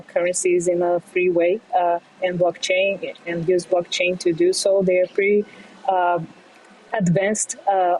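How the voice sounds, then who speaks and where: A young Brazilian woman speaks accented English in a low, level voice, her tone dark and mellow. She pauses often on fillers and slips in small laughs as she explains her work. Portuguese is her first language. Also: poor audio. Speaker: fintech CEO guest. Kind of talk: podcast interview. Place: remote, not in studio.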